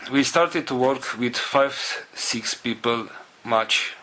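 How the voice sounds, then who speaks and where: A man with a non-native English accent giving a spiritual talk to followers in a solemn, halting manner, in short phrases. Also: mid-range voice, sharp tone, low-quality recording. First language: not English. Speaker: spiritual group leader.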